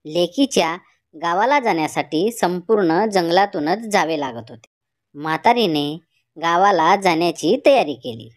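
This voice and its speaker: Slow-paced woman narrating a children's story. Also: on the low side.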